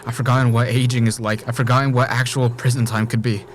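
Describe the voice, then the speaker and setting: A man's voice: medium pitch, little pitch movement, quick pace, lightly acted. A man acting in an audio drama as an obsessive, murderous man trapped in a time loop, speaking with pleading intensity. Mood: pleading, intense. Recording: noisy background ambience.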